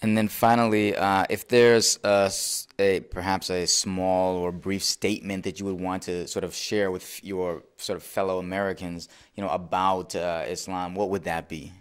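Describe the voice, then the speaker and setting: A man conducting an interview, asking questions in a polite, halting, hedging way. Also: low voice, little pitch movement, clear, resonant tone.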